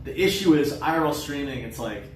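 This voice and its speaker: A man's voice: mid-range voice, expressive, a little fast. A man hosting an IRL stream, chatting casually in a laid-back, filler-heavy way and swearing. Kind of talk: casual chat. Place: indoors in a house. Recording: echoey room, mic far off, faint noise.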